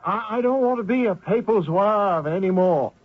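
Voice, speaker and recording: A man's voice: sits high, normal speed. An actor playing a desperate, wounded American fugitive soldier who dramatically recounts his escape in old-time radio style. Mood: pleading, exhausted. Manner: dramatic first-person narration with strained pleading. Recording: tinny old radio broadcast with music.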